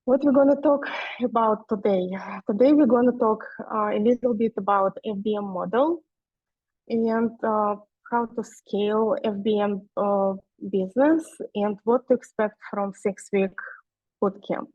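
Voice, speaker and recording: A woman's voice: medium pitch, fairly even intonation, a little slow, no echo. Russian lady in her 40s giving a webinar, lots of uhs, dull dry lo-fi sound. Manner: hesitant, many fillers. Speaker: guest speaker. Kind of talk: webinar presentation.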